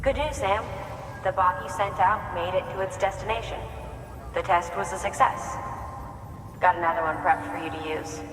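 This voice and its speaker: A woman voices a composed support officer in a video game, measured and explanatory. Her voice is low for a woman and carries a long reverb. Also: fairly bright tone, a little hiss or hum, lightly acted. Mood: calm. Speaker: mission support contact. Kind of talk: video game cutscene dialogue.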